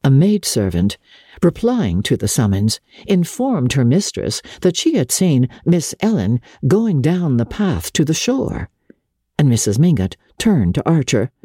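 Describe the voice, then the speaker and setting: A woman narrates literary fiction with dramatic, measured expression. Her voice is dark and husky and moves widely in pitch. She delivers it as polished scripted storytelling. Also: sing-song, dry recording, clean studio sound. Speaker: audiobook narrator.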